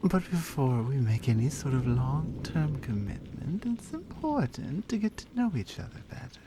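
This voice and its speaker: A woman playing a strong, tender lioness in an intimate ASMR roleplay, speaking softly and earnestly with deliberate, halting phrasing. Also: very deep voice, sing-song, noisy background. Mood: earnest, affectionate.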